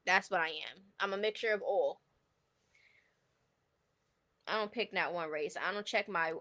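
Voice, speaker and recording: A woman's voice: medium pitch, bright, forceful tone. A woman with a New York accent chatting casually on a livestream, giving blunt, opinionated gossip commentary in slangy speech. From New York City, United States. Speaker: livestream host.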